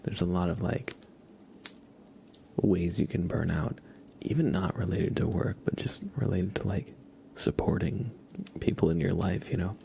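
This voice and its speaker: A man softly whispering in a breathy, reassuring way, chatting casually with viewers on an ASMR livestream. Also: low-pitched, unhurried, long pauses, a little hiss or hum. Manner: soft-spoken, reassuring. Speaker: ASMR live streamer.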